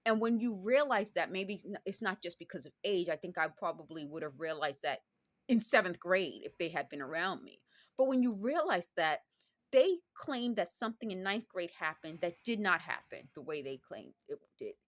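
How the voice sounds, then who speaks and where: A woman's voice: fairly low pitch, expressive, moderate pace. A woman in her fifties talking earnestly and insistently in a personal vlog monologue, circling back over the same points. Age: 50s. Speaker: vlogger.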